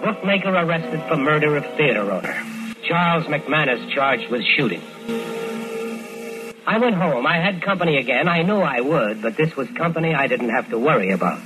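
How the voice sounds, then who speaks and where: An actor in a 1950s radio drama playing a calm, persuasive schemer who narrates his own crime. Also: a little high, normal speed, close to an everyday voice, rough recording. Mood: cold. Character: smooth-talking scheming man, murderer narrating. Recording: old radio broadcast with music bed.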